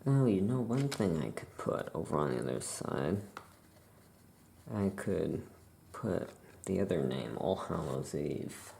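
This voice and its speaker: A soft-spoken ASMR creator musing to themselves while doing crafts, with writing sounds and faint noise behind. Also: sits low, big pitch swings, measured pace, creaky.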